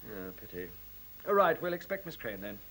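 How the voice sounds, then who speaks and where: An actor playing a courteous, businesslike facility official in a radio play, calmly assured with small polite hesitations. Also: male voice, mid-range voice, moderate pace, low-quality recording. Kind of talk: radio drama acting. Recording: hissy old radio play recording.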